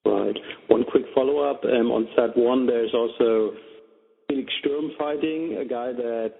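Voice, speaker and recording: A man putting questions as a reporter on a conference call, carefully, pausing between phrases. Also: medium pitch, vocal fry. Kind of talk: phone interview questioning. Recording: tinny phone-line conference call with reverb.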